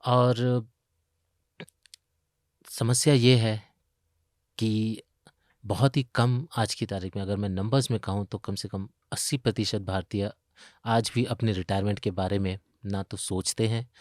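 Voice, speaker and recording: A man's voice: fairly low pitch, steady tone, measured pace, pauses often, a little mellow, no room sound, clean studio sound. A man with an Indian accent explaining as an expert in an interview, posing questions and working through examples. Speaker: retirement expert, pension fund investment chief.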